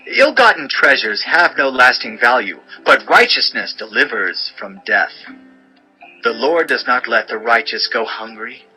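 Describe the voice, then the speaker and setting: Forceful, sharp-voiced male scripture narrator. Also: medium pitch, low-quality recording. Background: music bed.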